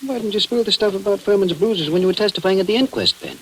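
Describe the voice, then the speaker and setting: A man's voice: high-pitched, fast talker. An American man playing a plain-spoken, probing small-town police chief in 1940s radio acting, with a folksy, questioning manner. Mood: irritated. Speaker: actor in a radio crime drama. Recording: noisy old radio recording with hiss.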